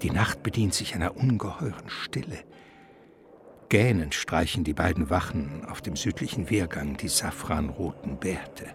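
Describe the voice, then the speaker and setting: Low, creaky, theatrical male narrator. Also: normal speed, noisy background. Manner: expressive, suspenseful, ironic. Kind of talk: theatrical scripted radio play narration.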